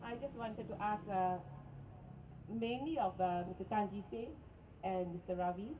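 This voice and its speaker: A woman blogger asking a question at a press conference, hesitant and halting. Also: mid-range voice, muffled recording, background noise.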